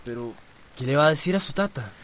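A man's voice: mid-range voice, lively intonation, fast talker, fairly bright tone, natural voice. Guy playing earnest costa rican teen boy, protective, radio soap, a little noise behind. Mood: protesting. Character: earnest, protective teenage son. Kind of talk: radio drama acting.

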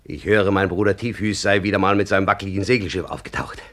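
An actor playing a boastful, jovial helmet maker in an old radio play. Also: male voice, deep voice, quick pace, fairly bright tone. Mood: scheming. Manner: bragging and jovial, talks to himself aloud. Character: boastful male helmet maker, eager suitor. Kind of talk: 1950s radio play acting.

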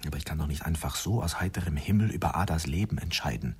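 An actor playing a brooding expectant father in a German radio drama, husky and weighing his words. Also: extremely low pitch, quick pace, a little mellow, exaggerated voice. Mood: troubled, hesitant. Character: brooding expectant father facing a grave decision.

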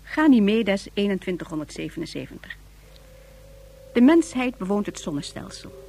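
A woman narrating a radio drama from a script in a deliberate, dramatic storytelling manner. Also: medium pitch, sing-song, creaky, mellow. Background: music.

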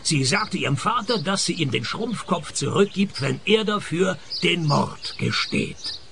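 Wry private eye with gravelly voice. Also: male voice, high-pitched, unhurried, background noise, exaggerated voice. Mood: matter-of-fact accusing. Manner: dry, deadpan. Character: wry, deadpan private detective narrating his own cases. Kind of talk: radio play acting and narration.

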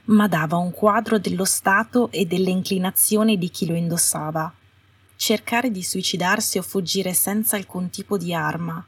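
A woman's voice: lowish voice, breathy edge. A woman reading scripted fiction as an audiobook narrator. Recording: roomy echo, distant from the mic.

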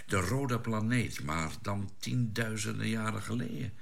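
An actor playing a sober, sceptical scientist on a spaceship crew in a radio drama, thoughtful and explanatory in manner. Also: male voice, on the low side, measured pace, vocal fry. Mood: pensive, explaining. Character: sober, sceptical male scientist aboard a spaceship, reasoning carefully. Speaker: crew member.

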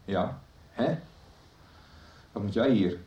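An actor playing a cold, calculating schemer in a radio soap. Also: male voice, lowish voice, takes it slowly, warm tone, muffled recording. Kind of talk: 1990s radio drama acting.

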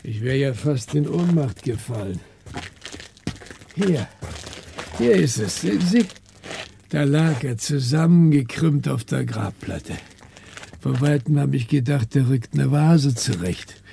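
A man acting a talkative, flustered small-town cemetery caretaker in a 1960s German radio play, excitable and often groping for words. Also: medium pitch, slow, vocal fry, long pauses, a little hiss or hum. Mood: nervous, chatty. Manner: garrulous, excitable, searching for words. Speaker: actor in a radio crime drama.

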